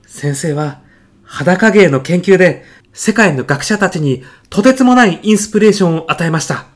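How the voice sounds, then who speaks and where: A man playing an earnest physicist in an audio comedy sketch, speaking formally and reverently of his mentor with deadpan, grandiose solemnity. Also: high-pitched, moderate pace. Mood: proud, impassioned. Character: earnest, reverent male physicist, devoted protégé of late professor.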